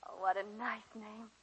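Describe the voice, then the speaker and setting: An actress playing a warm, eager small-town schoolteacher in a 1941 radio drama. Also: female voice, medium pitch, husky, exaggerated voice, poor audio. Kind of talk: 1940s radio drama acting. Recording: 1941 radio broadcast recording.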